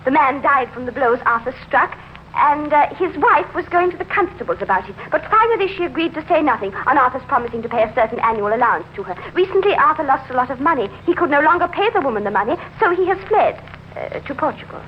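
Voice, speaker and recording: An actress playing a scheming, evasive wife who feigns innocence in a 1950s radio crime drama, hesitant and theatrical. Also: female voice, sits high, expressive, fast talker. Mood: evasive, polite. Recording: dull, noisy old radio recording.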